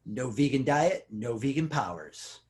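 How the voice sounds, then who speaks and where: An actor playing a cocky, loud, officious vegan police officer in an amateur table read. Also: medium pitch, animated, unhurried, lightly acted. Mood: officious, smug.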